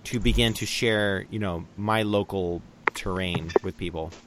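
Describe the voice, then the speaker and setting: A man's voice: sits low, unhurried, very noisy. A man hosting a casual online radio interview, chatty and enthusiastic, with plenty of fillers. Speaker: podcast host. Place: lives in Taiwan.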